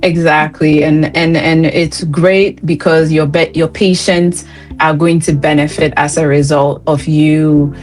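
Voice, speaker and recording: Slow, low-voiced woman host from Ghana. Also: flat delivery, darkish tone, soft background music. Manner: encouraging, chatty, laughs lightly. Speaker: interview host. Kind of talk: conversational interview.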